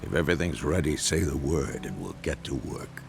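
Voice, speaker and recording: Gravelly seasoned monster-hunting mentor. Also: male voice, rumbling low, expressive, normal speed, background noise, full character voice. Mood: assured, wry. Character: seasoned older male monster hunter, wry, fatherly mentor. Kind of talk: video game voice acting.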